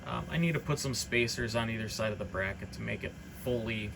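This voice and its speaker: A man's voice: fairly low pitch, fairly quick, gravelly, background noise. A man giving an informal, unscripted hobbyist product demonstration in a rambling, matter-of-fact way. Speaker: hobbyist radio reseller presenting a demonstration.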